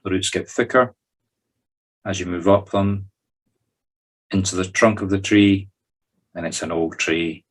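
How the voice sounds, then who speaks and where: Low-pitched Scottish man guiding a meditation. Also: steady tone, unhurried, pauses often. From Scotland. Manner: conversational, informal, instructing listeners step by step. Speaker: medium leading a group guided meditation. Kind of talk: guided meditation. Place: recorded in a group video call.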